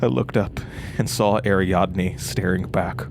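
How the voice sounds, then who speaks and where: A man's voice: low voice, a little slow, vocal fry, background noise. A man playing a haunted narrator in a horror audio drama, stammering and repeating his words as he recounts his story. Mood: haunted, grim. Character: haunted, panicky young male radio-show narrator recounting horrors.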